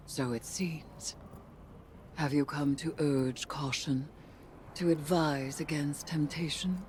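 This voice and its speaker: A woman voicing a brooding, vengeful occult warrior in a video game, solemn and portentous, with breathy intensity. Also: low voice, fairly even intonation, slow, a little mellow, a little hiss or hum. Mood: wry, guarded. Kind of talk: dramatic video game acting.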